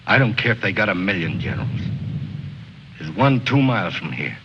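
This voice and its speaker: A man's voice: sits low, sing-song, fairly quick. An actor playing a bitter, restless soldier in his thirties, brooding and sardonic, in an old film drama. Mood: bitter, driven. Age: 30s. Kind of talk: mid-century American film drama acting. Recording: muffled, hissy old film soundtrack.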